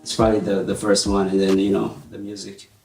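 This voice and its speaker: A man's voice: on the low side, flat delivery, fairly quick. Young man chatting informally in a roomy space. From born in Tanzania to Burundian parents, grew up in the United States from age seven. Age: 20s. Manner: informal, slangy, mixes some Swahili into English. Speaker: musician and producer being interviewed. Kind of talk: casual interview chat. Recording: roomy echo with faint music behind.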